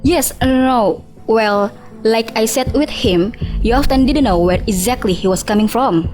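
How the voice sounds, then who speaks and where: Radio drama role: junior office worker played by a woman, aggrieved, chatty and unsure, slightly high voice, faint music behind. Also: a little slow, darkish tone, lightly acted. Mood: offended, defensive. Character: offended junior female employee giving testimony, hesitant, informal.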